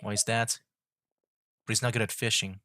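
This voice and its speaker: A man's voice: on the low side, level tone, moderate pace, sharp tone, a little hiss or hum. A man giving casual live game commentary as a streamer, rambling as he thinks aloud.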